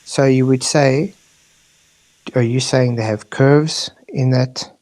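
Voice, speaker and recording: A man's voice: mid-range voice, fairly even intonation, slow pace, darkish tone. A man from South Africa hosting a heated online debate and pressing for yes or no answers. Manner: insistent, pressing questions.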